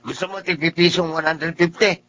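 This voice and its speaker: A high-pitched, proud Filipino father. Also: normal speed, pushed and bright. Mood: firm, irritated. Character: proud forty-year-old Filipino father with dwarfism, patient, stubborn. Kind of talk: Filipino radio drama acting and first-person narration. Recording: muffled audio with music underneath.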